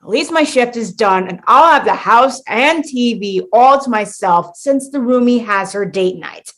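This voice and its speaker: Actress as an exasperated young woman, high, swooping voice. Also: animated, unhurried, fairly bright tone. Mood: deflated. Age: young adult. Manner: theatrical, sarcastic, big exclamations. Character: exasperated, sarcastic young woman, weary mall mascot. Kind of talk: comic radio play acting.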